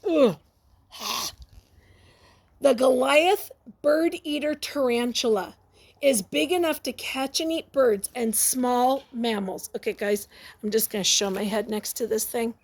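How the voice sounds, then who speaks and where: A woman reading a picture book aloud to young children, expressive and exclamatory, often breaking off to ask her listeners questions and add asides. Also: on the high side, measured pace.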